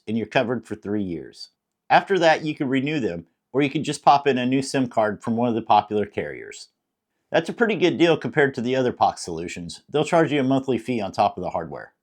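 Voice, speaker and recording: American man with lively, swooping pitch. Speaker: product reviewer, host. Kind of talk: scripted product review narration.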